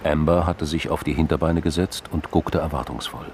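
A man's voice: extremely low pitch, steady tone, a little fast, a little mellow, slight background noise. A man reading scripted narration in a crime radio play.

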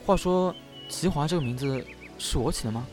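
A man playing a lively, impulsive, easily huffy young man in an audio drama, expressive and blunt. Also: a little high, brisk, music in the background, natural voice. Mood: curious, excited. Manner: expressive, blunt, playful grumbling. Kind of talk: Chinese audio drama acting.

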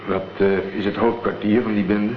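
An actor playing a laconic, wary private detective in a radio drama, speaking in a terse, matter-of-fact way. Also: male voice, on the low side, flat delivery, moderate pace, close to an everyday voice. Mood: probing. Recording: dull, faintly noisy old radio play recording.

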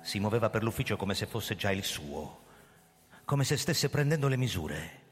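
Low-voiced ageing agency chief, reverberant hall. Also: male voice, big pitch swings, moderate pace, a bit bright. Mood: wary, brooding. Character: proud, defensive ageing male founder of a crime-prevention agency, narrating. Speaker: actor narrating and playing the lead. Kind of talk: theatrical radio drama with first-person narration. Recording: long hall reverb, music underneath. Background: music.

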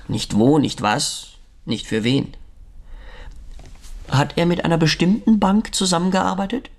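A man acting a smug, smooth-talking lawyer in a German radio crime drama, condescending and unctuous. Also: on the high side, big pitch swings, unhurried. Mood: evasive, probing.

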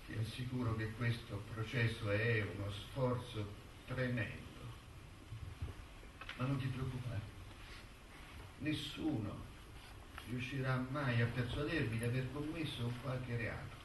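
An actor playing a sardonic middle-aged intellectual defending himself on trial, in theatrical, emphatic stage delivery. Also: male voice, mid-range voice, steady tone, slow pace, pauses often, lightly acted. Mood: intimate, weary resolve. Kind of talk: stage play acting, courtroom monologue and retort. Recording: roomy distant stage recording, faint noise.

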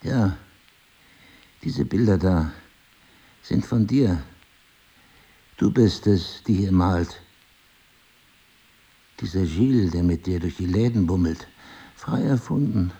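An actor playing a brooding, suspicious middle-aged husband and crime writer with amnesia in an intimate audio drama, gravelly and husky, probing and sardonic. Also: male voice, deep voice, takes it slowly, pauses often, dark tone, full character voice. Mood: accusing.

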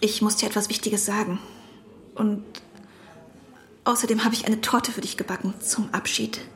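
An actress playing an insistent, quietly manipulative woman in a radio play, soft-spoken but pointed. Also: medium pitch, flat delivery, moderate pace, lightly acted. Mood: gently coaxing. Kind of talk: German radio play acting.

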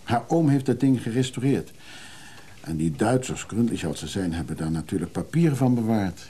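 An actor playing a modest, wistful middle-aged hunter of antique musical machines in a radio drama, husky and low-key, musing hesitantly. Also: male voice, animated, normal speed, warm tone. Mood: practical, doubtful. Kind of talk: naturalistic radio drama dialogue.

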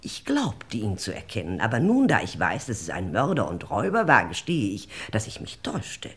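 A woman's voice: rumbling low, huge pitch swings, moderate pace, breathy edge, heavily acted. A woman playing a fussy, self-righteous elderly lady in a radio crime play, stilted, dramatic and long-winded. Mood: self-important, rambling. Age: older. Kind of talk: 1950s-80s German radio crime play acting.

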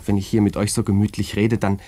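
An actor in a radio play voicing a proud, earnest young cavalry lieutenant, polite and emphatic. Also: male voice, lowish voice, flat delivery, fast, dark tone, close to an everyday voice. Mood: earnest, tender.

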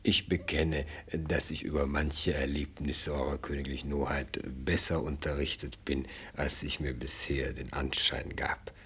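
An actor playing an elderly, deferential state minister in a radio drama, speaking in halting, ceremonious courtly phrases. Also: male voice, rumbling low, flat delivery, fairly bright tone, slightly rough, heavily acted. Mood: concerned, discreet. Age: older. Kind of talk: German radio drama acting.

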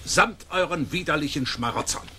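A man's voice: a little high, expressive, moderate pace, sharp tone, a little hiss or hum. An actor playing a stern, honourable and influential nobleman, emphatic and declamatory in a German radio drama. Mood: resolute.

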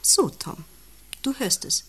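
An actress playing a volatile, possessive wife who coos and commands in a satirical radio drama. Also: female voice, slow pace, pushed and bright, in a whisper. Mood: bossy, cooing. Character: volatile, possessive wife, by turns cooing, bossy and desperate.

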